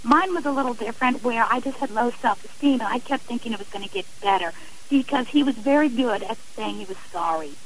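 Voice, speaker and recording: A woman's voice: on the high side, fast, slight background noise, rough recording. A middle-aged woman speaking earnestly and emphatically as a guest in a radio interview, giving personal testimony in a conversational way. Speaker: interview guest, author and abuse survivor.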